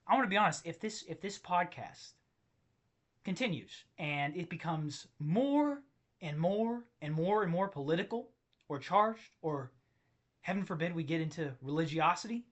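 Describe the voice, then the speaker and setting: Tired-voiced guy ranting on his own podcast, kinda high and bright, keeps cutting himself off. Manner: rambling, self-interrupting, excitable. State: tired, strained voice. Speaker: podcast host. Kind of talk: solo comedic rant podcast.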